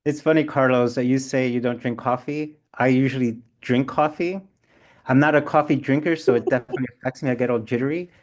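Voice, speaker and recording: A man's voice: mid-range voice, fairly quick. A man leading an online seminar discussion, chatty and playful, reacting to participants. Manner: chatty, animated, playful asides. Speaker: seminar presenter.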